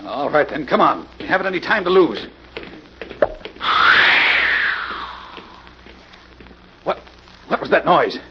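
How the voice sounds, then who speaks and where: An actor playing a nervous, griping older engineer turned crook in a golden-age radio drama. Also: male voice, fairly high pitch, big pitch swings, fairly quick. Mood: impatient, uneasy. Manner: griping, agitated, anxious. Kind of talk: 1940s radio acting. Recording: muffled, noisy old radio recording.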